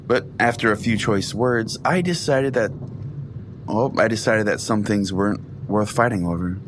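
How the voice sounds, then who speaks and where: A man's voice: mid-range voice, a little hiss or hum. A man hosting a comedy podcast, narrating a scripted first-person tale in a theatrical, earnest storytelling way. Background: music bed under the voice.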